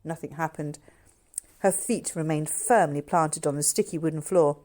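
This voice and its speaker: A woman author reading her own novel aloud in an expressive storybook narration style. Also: deep voice, normal speed.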